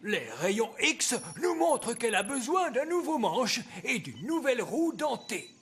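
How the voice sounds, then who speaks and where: A man voicing a wise old elf who runs a workshop in a French cartoon dub, deliberate and authoritative. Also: extremely high pitch, sing-song, fairly bright tone, a little hiss or hum, heavily acted. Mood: explaining. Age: older.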